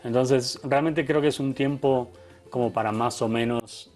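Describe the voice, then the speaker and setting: Thoughtful Argentine man, softened accent. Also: medium pitch, fairly even intonation, unhurried, faint music behind. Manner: thoughtful, many restarts and tag questions. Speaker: photographer guest. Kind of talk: conversational interview.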